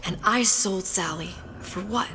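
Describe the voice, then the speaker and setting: An actress playing a weary, guilt-ridden young woman in a video game, speaking in a haunted, halting, introspective way. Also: medium pitch, natural voice, rough recording. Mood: weary, regretful. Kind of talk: dramatic video game acting.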